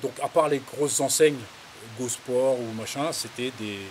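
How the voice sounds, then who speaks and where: A man's voice: medium pitch, a little slow. A man in his fifties from the Paris suburbs, casually recounting his life in a hesitant, rambling, colloquial way. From Paris suburbs, France. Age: 50s. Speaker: interviewee, farmer. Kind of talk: casual autobiographical interview, recounting anecdotes. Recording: clear background hiss.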